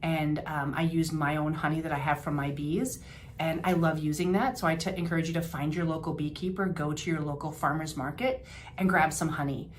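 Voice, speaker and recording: Older lady, kinda low voice, chatty health tips w lots of ums, bit of hiss behind. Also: fairly even intonation, fairly quick. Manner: chatty, homey, with fillers and restarts. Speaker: health practitioner. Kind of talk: informal health advice talk to camera.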